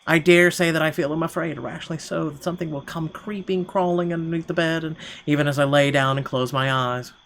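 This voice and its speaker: A man's voice: a little high, fairly even intonation, quick pace, gravelly, hardly any pauses, a bit bright, slight background noise. A man reading visual novel narration aloud in a theatrical way, now and then stumbling over words. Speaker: gaming video host. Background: game music.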